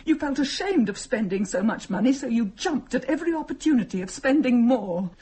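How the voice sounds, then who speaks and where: An actress playing a coolly perceptive, reproving wife in a radio drama, speaking in a measured, analytical way. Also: female voice, a little high, expressive, dark tone, a little hiss or hum, close to an everyday voice. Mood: cool reproach. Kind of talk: dramatic radio play acting.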